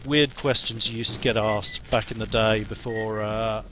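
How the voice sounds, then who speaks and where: Hesitant game developer, hoarse. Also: male voice, lowish voice, fairly even intonation, normal speed, noisy background. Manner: hesitant, many ums and restarts. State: losing his voice, coughing. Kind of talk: casual live stream talk while playing. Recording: music playing behind.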